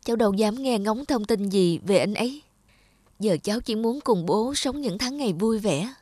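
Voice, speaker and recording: A woman's voice: medium pitch, lively intonation, moderate pace, a little mellow, dry recording, close to an everyday voice. A woman voicing a sorrowful young woman in a family cartoon, subdued and regretful. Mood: sad, regretful.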